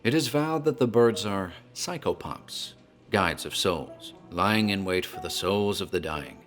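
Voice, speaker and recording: A man playing a talkative country tavern landlord in a horror audio drama, deliberate, teasing and deferential in his storytelling. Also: low voice, animated, fairly bright tone. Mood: grave, ominous. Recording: music under the voice.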